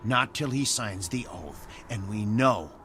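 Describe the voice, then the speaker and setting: Slow, deep-voiced menacing enforcer. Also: male voice, animated, noisy background. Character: menacing, unyielding enforcer of a secret group's oath. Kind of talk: dramatic audio drama acting.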